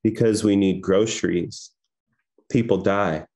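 Poet in his 30s, deep low voice, reading over a laptop webcam at home. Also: fairly even intonation, a little slow. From Iran. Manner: soft-spoken, hesitant with many fillers between poems. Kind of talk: poetry reading. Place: home room with framed pictures on the wall. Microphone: laptop webcam video call.

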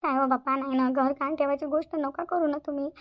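A woman's voice: high-pitched, steady tone, fast talker, muffled recording, natural voice. A woman voicing a worried, stubborn village mother in a Marathi cartoon, plaintive and repetitive, with a Vidarbha Marathi accent. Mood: upset, pleading.

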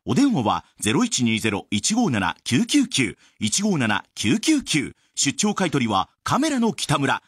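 A man delivering a scripted radio sales pitch as an advert announcer. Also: huge pitch swings, a little slow, few pauses, a bit bright, husky, dry recording, studio quality.

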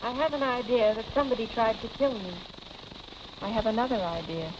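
Actress as sardonic wealthy wife, 1940s film. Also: female voice, a little high, expressive, fairly quick, lo-fi sound, background noise, close to an everyday voice. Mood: coolly accusing. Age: young adult. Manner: arch, dry, clipped irony. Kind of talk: 1940s Hollywood film acting. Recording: old film soundtrack, music behind.

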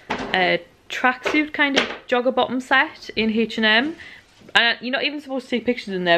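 A woman's voice: mid-range voice, slight background noise. A young woman in her twenties chatting casually and rambling to a camera held at arm's length, in a car and at home. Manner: chatty, rambling, easily tired. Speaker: vlogger. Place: car, then bedroom at night, then kitchen.

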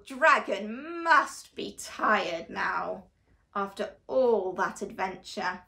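A young woman reading a picture book aloud to small children in an animated, expressive, deliberate storytelling manner. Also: medium pitch, vocal fry. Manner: exaggerated, expressive, slow and deliberate delivery to children. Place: small living room, on a sofa indoors.

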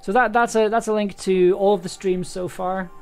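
A man's voice: high voice, steady tone, normal speed, smooth. A young adult man chatting casually on a livestream, hesitant and rambling as he thinks aloud. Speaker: audio drama producer and streamer. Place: small indoor room at home. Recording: music playing underneath. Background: background music.

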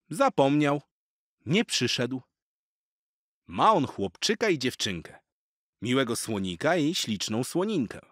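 A man reading children's rhymes aloud in an expressive, theatrical and rhythmic way. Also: medium pitch, no echo, studio quality. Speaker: children's story reader.

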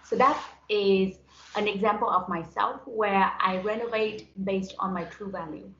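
A woman's voice: mid-range voice, unhurried, a bit bright, slight background noise. A young adult woman from Vietnam, a native Vietnamese speaker, giving a conversational motivational talk as a guest speaker on a video call. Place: indoors on a group video call. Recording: dull, roomy video-call audio.

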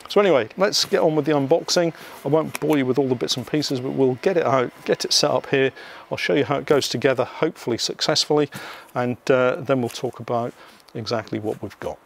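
A man with a British accent talks to his viewers as the host of a casual vlog. His voice sits at a middle pitch. He explains things step by step in a chatty way, with some hesitant phrasing, speaking outdoors. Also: brisk, gravelly, slight background noise. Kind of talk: casual unboxing narration to viewers.

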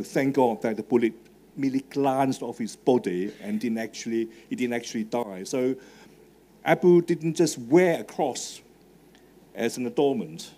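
A man's voice: medium pitch, warm tone. A middle-aged man with a Hong Kong English accent, a native Cantonese speaker, giving a live talk to students in a marquee tent. He speaks conversationally with fillers and asks the audience questions. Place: large marquee tent with a stage. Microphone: handheld microphone.

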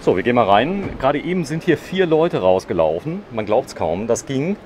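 A man's voice: mid-range voice, very animated, normal speed, darkish tone. A man doing a casual, chatty on-site interview as a reporter. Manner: chatty, adds short tag questions. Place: caravan at an indoor trade fair. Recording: clear background noise of busy trade fair.

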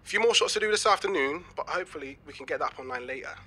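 A man's voice: on the high side, animated, rapid-fire, bright, forceful tone. An actor playing an enthusiastic young British man full of schemes, chatty and eager, in a naturalistic audio drama. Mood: pleased, confident. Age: young adult. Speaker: actor in a fiction podcast.

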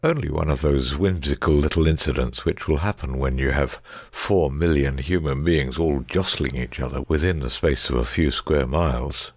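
Man acting analytical gentleman detective, creaky low voice, very dry close sound, precise, wry, audiobook drama. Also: moderate pace, hardly any pauses, no echo. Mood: explaining.

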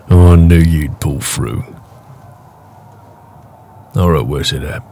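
A man playing a big, gruff, protective orc raider with a wry, casual manner in a fantasy roleplay audio drama. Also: a bass voice, takes it slowly, mellow, slight background noise, full character voice. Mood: wry, teasing. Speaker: voice actor.

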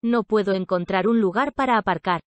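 A woman with a mid-range voice reads practice sentences clearly and at a measured pace. The recording is close and dry. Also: mid-range voice, dry recording. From Spain. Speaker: language lesson speaker. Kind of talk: reading practice sentences aloud.

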